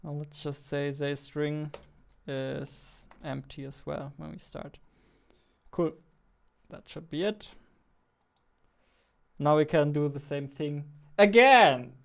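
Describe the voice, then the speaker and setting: A man's voice: a little high, takes it slowly, gravelly, pauses often, clean tone. A man in his twenties thinking aloud casually as he works, a game developer recording a vlog on a headset. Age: 20s. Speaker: indie game developer, vlogger. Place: small indoor room at a computer.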